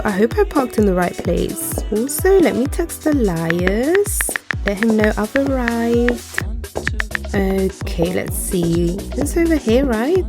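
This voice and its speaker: High-voiced chatty young woman vlogger, played by a woman. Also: exaggerated voice. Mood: casual, musing. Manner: chatty, girlish, addresses viewers directly. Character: excitable young woman student vlogger shopping for a car. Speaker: vlogger character. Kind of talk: voiced roleplay vlog. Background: background music.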